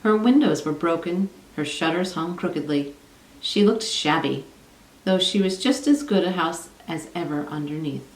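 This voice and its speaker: Female storybook narrator, lower register, heard from a little way off the mic in a roomy space. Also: moderate pace. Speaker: storybook reader. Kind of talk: children's read-aloud narration. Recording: echoey room, distant from mic.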